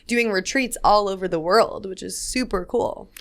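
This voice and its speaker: A woman hosting a casual podcast interview, bubbly and energetic, talking in quick bursts. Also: medium pitch. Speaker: podcast host.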